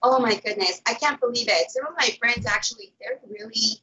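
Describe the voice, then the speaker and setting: A woman in her thirties with a raspy voice, chatting casually and playfully as a guest on a live stream. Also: a little high, a little fast, bright, forceful tone, dull audio. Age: 30s. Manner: playful, exclamatory. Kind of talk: casual chat.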